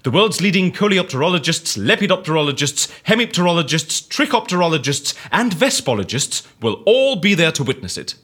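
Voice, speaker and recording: An actor playing a brisk, flamboyant fixer who stages fake deaths, pressing and exclamatory in a comedic audio drama. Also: male voice, fairly high pitch, sing-song, a bit bright, breathy edge, heavily acted. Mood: gleeful scheming.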